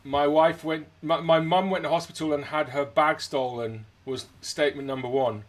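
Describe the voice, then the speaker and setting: A man's voice: a little high, fairly even intonation, normal speed. A man from Scotland interviewing casually, with a dry, wry sense of humour. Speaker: interviewer, jazz musician.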